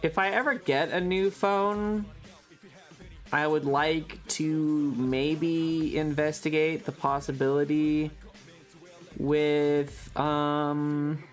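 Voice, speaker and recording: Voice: mid-range voice, fairly even intonation, extremely slow, soft background music. A livestream host chatting casually with viewers in a rambling, loose, casually profane way. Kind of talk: casual chat with stream viewers.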